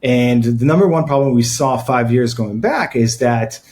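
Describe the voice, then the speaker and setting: A man speaks as a podcast guest in a casual chat. He talks softly and hesitantly, filling gaps with ums and letting his sentences trail off quietly. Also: medium pitch, moderate pace. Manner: soft-spoken and hesitant, many fillers, trailing off quietly. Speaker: guest, company co-founder.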